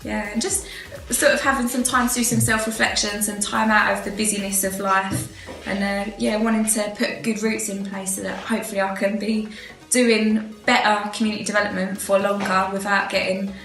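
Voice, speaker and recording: A woman speaks in a calm, reflective way, her tone staying level. Her voice carries an echo of the room, with quiet music beneath. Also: mid-range voice, normal speed, gravelly. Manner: reflective, relaxed, casual fillers. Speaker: community development worker. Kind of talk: unscripted testimonial. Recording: roomy echo with faint background music.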